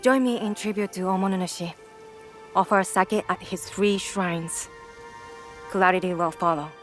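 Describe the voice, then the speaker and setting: An actress playing a calm, devout female shrine priestess in a game cutscene, measured and solemn. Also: fairly low pitch, natural voice. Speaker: game character. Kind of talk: video game cutscene acting. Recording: game audio with music.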